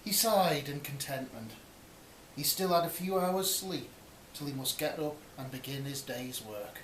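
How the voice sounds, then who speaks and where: A man's voice: a little high, a little slow. A man reading a comic fantasy story aloud as scripted narration. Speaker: author or reader of a comic fantasy novel. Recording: roomy echo, faint hiss.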